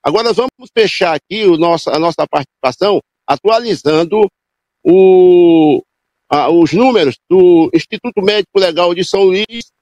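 A man's voice: sits high, normal speed, a little mellow, no room sound, muffled recording. A man, reporting live for radio in a loose, improvised way with restarts and self-corrections. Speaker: field reporter.

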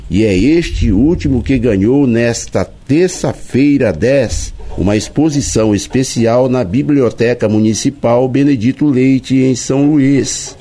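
Male reporter, warm dark voice, calm measured narration. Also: mid-range voice. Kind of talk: scripted news report.

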